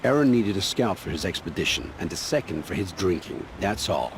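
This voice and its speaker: An actor playing a gruff, evasive ruin scavenger and scout in a video game, brusque and defensive. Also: male voice, low voice, expressive, a little fast. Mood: weary, dismissive. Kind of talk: acted video game dialogue. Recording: clearly noisy, ambient background.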